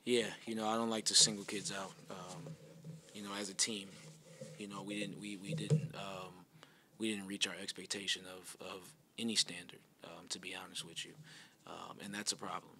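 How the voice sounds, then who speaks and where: A man in his thirties, a basketball coach answering questions at a postgame press conference, measured and repeating himself with many pauses, speaking into a table mic in a press room. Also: deep voice, flat delivery, gravelly, sharp tone, a little hiss or hum. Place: press room in front of a sponsor backdrop. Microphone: table mic in front of him.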